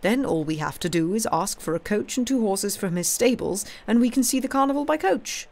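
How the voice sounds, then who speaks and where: A woman's voice: mid-range voice, animated, normal speed, voice pushed up, natural voice. A woman reading aloud, voicing a playful, ironic young male aristocrat who spins grand schemes. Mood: boastful.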